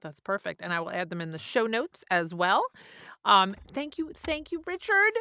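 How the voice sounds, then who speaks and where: A middle-aged woman with a bright, crisp-edged voice hosts a casual chat. She is effusive and exclamatory, and she is recorded dry with no room sound. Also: mid-range voice, very animated, normal speed, dry recording. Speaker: podcast host.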